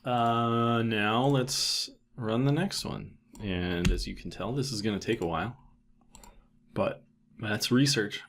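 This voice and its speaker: A man in his thirties giving a casual, matter-of-fact software tutorial, thinking aloud as he goes. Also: on the low side, slow pace, slight background noise. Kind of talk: casual screen-recorded tutorial narration.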